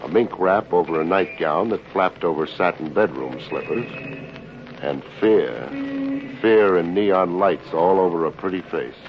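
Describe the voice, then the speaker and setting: An actor playing a wisecracking, deadpan private investigator with an American accent, in the style of fifties radio detective drama. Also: male voice, sits low, measured pace, noisy background. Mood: wry narration. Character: wry, hard-boiled male private investigator. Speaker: radio detective lead and narrator. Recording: dull vintage radio recording, music behind.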